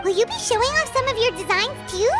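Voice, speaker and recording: Squeaky, very high childlike companion. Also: female voice, lively intonation, fast. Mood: curious. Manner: bubbly, inquisitive, exclamatory. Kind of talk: video game voice acting. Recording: loud background music.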